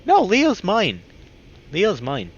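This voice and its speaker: A man reading a visual novel aloud as a streamer, with expressive reactions. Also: high-pitched, slow pace. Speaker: video game streamer. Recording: dull lo-fi mic with clear background noise.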